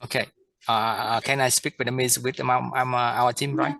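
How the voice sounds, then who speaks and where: A man in a work meeting gropes for words as he asks his questions, filling the gaps with pauses. The sound is dry and close. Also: mid-range voice, flat delivery, creaky, pushed and bright, no room sound. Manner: hesitant, many fillers, halting English. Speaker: client team member asking questions. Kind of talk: casual work meeting talk.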